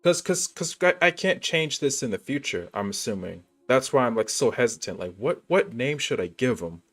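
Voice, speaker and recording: A man's voice: medium pitch, sing-song, moderate pace, fairly bright tone. A young man streaming a game, chatting casually in a rambling, laid-back way. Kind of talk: casual gameplay commentary. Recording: stream with faint game music.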